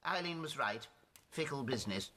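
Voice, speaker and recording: An actor playing an eccentric, dry-witted English amateur astronomer, narrating in a wry, old-fashioned, well-spoken manner. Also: male voice, mid-range voice, lively intonation, moderate pace, sharp tone. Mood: wry, rueful. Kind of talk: radio drama narration and dialogue.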